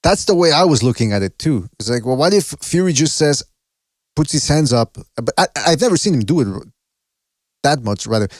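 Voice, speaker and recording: A man hosting a boxing podcast, chatting casually and thinking out loud with some swearing. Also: fairly high pitch, expressive, a little fast, dry recording. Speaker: podcast host.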